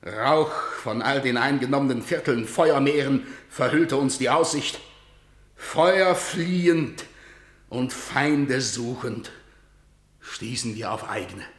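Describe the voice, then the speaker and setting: An actor playing a grim messenger of defeat in a radio drama, declaiming solemn stage verse with a rhetorical manner. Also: male voice, mid-range voice, reverberant, close to an everyday voice. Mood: agitated, horrified. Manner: solemn, rhetorical, measured delivery. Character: grim male battle messenger bearing news of catastrophic defeat.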